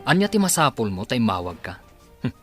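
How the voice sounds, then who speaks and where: A man's voice: mid-range voice, very animated, fairly quick, music in the background, close to an everyday voice. A man playing a stern, defensive father and unfaithful husband in a radio drama, with a northern Filipino accent. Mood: cold, defensive.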